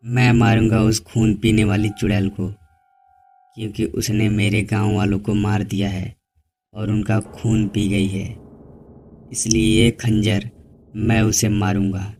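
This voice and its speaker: A determined village man out for revenge played by a man in a horror cartoon, making resolute vows in a slow, flat, fairly low voice with faint music underneath.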